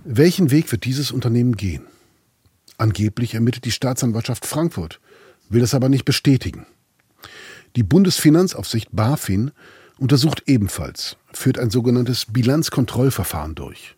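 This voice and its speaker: A man delivers scripted documentary narration in a very husky, breathy voice. The recording is dry and close, with next to no room around him. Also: medium pitch, animated, normal speed, darkish tone, dry recording. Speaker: podcast host and reporter narrating.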